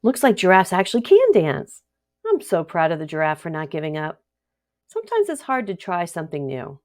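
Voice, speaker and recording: A woman's voice: lowish voice, wildly swooping pitch, fairly quick, darkish tone. A woman hosting a read-along, narrating a rhyming picture book expressively for children.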